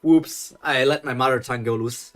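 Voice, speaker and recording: A man's voice: mid-range voice, fairly even intonation, moderate pace, a bit bright. A man streaming a live reading of a fan story, stumbling and correcting himself as he reads and stopping to critique the writing. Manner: reads aloud with frequent self-corrections, breaks off to critique. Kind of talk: live reading with reaction commentary.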